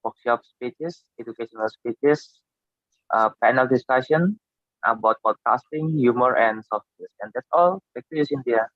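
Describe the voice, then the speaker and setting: Man, guest speaker introduction, medium pitch, dry slightly dull call audio. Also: normal speed, no room sound. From Indonesia. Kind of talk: listing his speaking experience. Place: video call.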